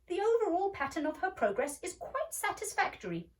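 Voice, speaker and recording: A woman's voice: very high-pitched, sing-song, fairly quick, distant mic, pitched above the performer's own voice. A woman voicing a kindly little male school inspector in a storybook, explaining things in a reassuring manner.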